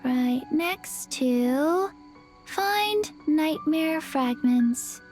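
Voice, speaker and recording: A woman voicing an earnest, sleepy little zombie girl in a video game, speaking slowly and haltingly in a deadpan way. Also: high-pitched, over music, full character voice. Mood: flat, sleepy. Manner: speaks of self in third person, slow, halting.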